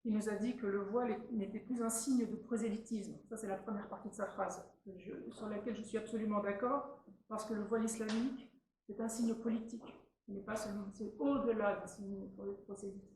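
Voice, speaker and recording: Woman in her 60s from Tunisia, invited speaker, earnest and halting, booming reverberant hall, faint background hiss. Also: steady tone, a little mellow. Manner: earnest, halting, many restarts. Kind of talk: public talk at a meeting. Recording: very echoey room, faint noise.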